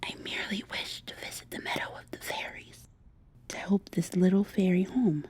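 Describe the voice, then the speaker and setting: A woman in an ASMR roleplay playing a gentle, wry young witch who coaxes her plants in a soft-spoken way. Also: fairly low pitch, normal speed, slightly rough. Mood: gentle, pleading.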